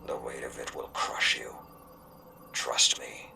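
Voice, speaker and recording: An actor playing a stern, foreboding older war adviser who gives grave warnings in a video game cutscene. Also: male voice, rumbling low, completely flat delivery, vocal fry, bright, forceful tone, recorded from a distance, a little hiss or hum, exaggerated voice. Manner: grim, slow, menacing warnings.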